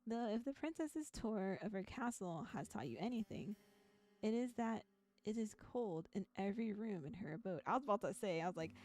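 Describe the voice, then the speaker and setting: A woman's voice: mid-range voice, expressive, fairly quick, a little mellow. A woman streaming a visual novel, moving between reading aloud and casual commentary in a chatty, giggly manner. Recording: background music behind voice. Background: music.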